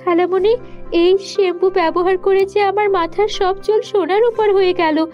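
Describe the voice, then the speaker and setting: A woman's voice: very high voice, steady tone, moderate pace, a little mellow, highs cut off, faint music behind, pitched above the performer's own voice. A woman voicing an earnest, reassuring young girl in a cartoon, speaking insistently. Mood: excited.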